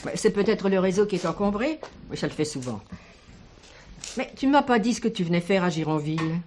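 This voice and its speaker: An actress playing an older village schoolteacher in a French radio drama, kindly and protective, speaking in a measured, expressive way. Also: female voice, mid-range voice, a little hiss or hum, close to an everyday voice. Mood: curious.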